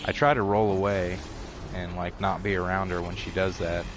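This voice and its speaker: A man's voice: deep voice, level tone, moderate pace, noisy background. A man giving rambling, self-deprecating commentary while streaming a video game. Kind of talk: casual gameplay commentary.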